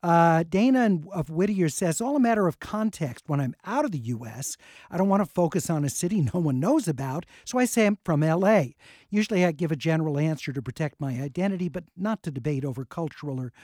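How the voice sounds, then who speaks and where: A man's voice: fairly high pitch, expressive, fairly quick, a little mellow, no echo, clean studio sound. A man hosting a radio call-in show, chatting casually and reading listener comments aloud. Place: radio studio. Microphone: studio microphone.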